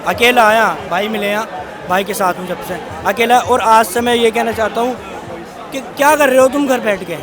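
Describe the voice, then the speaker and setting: A man's voice: high-pitched, moderate pace. A young man from Aligarh, a student protester, speaking in a fiery, indignant way and rallying others to join. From Aligarh, India. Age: young adult. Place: outdoor protest. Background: crowd.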